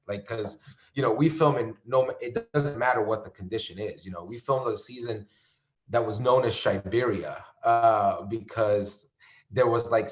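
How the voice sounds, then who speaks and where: A man from New York chatting casually as an actor guest, rambling with lots of fillers and restarts. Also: on the low side. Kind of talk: casual conversation. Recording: echoey room.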